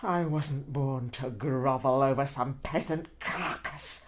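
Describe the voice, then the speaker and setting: An actress playing a haughty, vain, bloodthirsty countess in a horror audio drama, theatrical and imperious with breathy whispers. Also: female voice, a bass voice, slow pace. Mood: horrified, proud.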